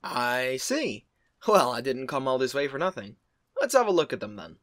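A man voicing a courteous, brisk and sly railway owner. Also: medium pitch, big pitch swings, fairly bright tone, no room sound, clean studio sound. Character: polite scheming male railway owner.

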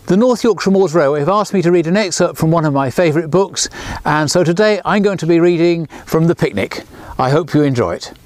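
A man's voice: brisk, dry recording. A man in his sixties, a children's author, reading his own storybook aloud outdoors beside a small railway, lifting his voice for the characters. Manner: lively storyteller, lifts pitch for characters' lines.

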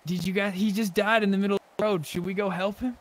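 A man's voice: high voice, fairly even intonation, fast. A man chatting casually in video game roleplay, swearing freely with mock indignation. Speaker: gamer, roleplay streamer.

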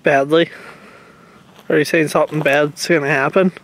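A young man vlogging a ghost hunt alone in the woods at night, talking in a hushed, nervous way into a handheld camera. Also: a little high, fairly even intonation, normal speed, slight background noise. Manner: hushed, nervous, questioning the dark. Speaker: paranormal vlogger. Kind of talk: handheld ghost-hunting vlog.

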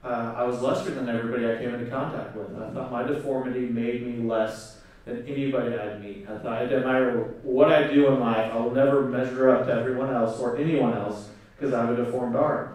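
A man's voice: mid-range voice, steady tone, darkish tone, far from the mic. Earnest young man in an echoey hall. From South Korea, raised in Oklahoma. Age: 20s. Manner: earnest, many fillers and pauses. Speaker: conference speaker. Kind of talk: personal testimony talk. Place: large hall on stage. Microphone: handheld mic. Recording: echoey hall, recorded from afar.

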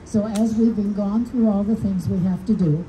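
An older woman with a deep voice giving a heartfelt dedication speech outdoors. She tells warm personal stories with gentle humor. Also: steady tone, normal speed, slight background noise. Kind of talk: heartfelt public speech at a podium. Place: outdoors under a canopy, small crowd. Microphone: podium mic heard through speakers, recorded from the audience. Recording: distant speaker through PA with outdoor ambience.

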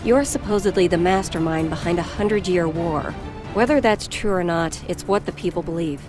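An actress playing a serious, composed presidential aide, formal and precise, in a dubbed anime game cutscene. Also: female voice, fairly low pitch, moderate pace, music in the background, natural voice. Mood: grave, composed.

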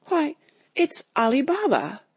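A woman voicing an old male miser in a storybook reading, excited and caught between laughing and crying. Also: medium pitch, measured pace, vocal fry, breathy edge, no room sound, heavily acted. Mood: ecstatic. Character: old male miser, overcome with nostalgic joy.

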